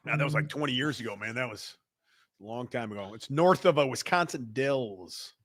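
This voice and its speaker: A man with a Chicago accent hosting a live show, loud and profane, bantering and reading out the chat. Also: mid-range voice, lively intonation, moderate pace. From Midwestern United States. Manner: loud, rowdy and profane, with bursts of laughter.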